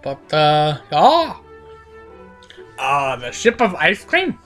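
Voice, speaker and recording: A woman's voice: deep voice, very animated, drawn-out words, a bit bright, faint music behind. A woman with a cold, her voice cracking, giving playful, rambling commentary over a video game with lots of fillers. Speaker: gaming channel host.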